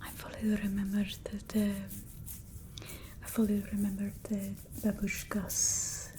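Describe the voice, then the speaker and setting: Woman acting a slow, whispery vampire mistress. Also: a little high, slight background noise, poor audio. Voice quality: soft, breathy, often whispered. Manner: halting, matter-of-fact, gently scolding. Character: bossy, doting female vampire tending her human familiar. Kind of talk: ASMR roleplay, soft speech mixed with whispering.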